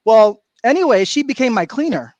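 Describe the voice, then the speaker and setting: A middle-aged man from Florida, a guest on a livestream, telling stories in an animated way and laughing often. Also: extremely high pitch, moderate pace, no echo, lo-fi sound. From Florida, USA. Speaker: guest speaker. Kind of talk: casual livestream chat. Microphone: video call.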